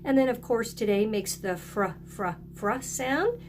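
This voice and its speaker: Slow-paced woman teaching young children. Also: on the high side, animated, noisy background. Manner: encouraging, asks children to repeat along. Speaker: early-grade teacher. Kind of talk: video lesson addressed to young children.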